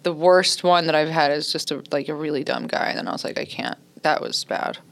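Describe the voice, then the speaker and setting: A woman comedian with a deep voice, chatting casually as a guest, dry and laughing, with hesitant restarts. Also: fairly quick, gravelly. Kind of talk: casual podcast chat.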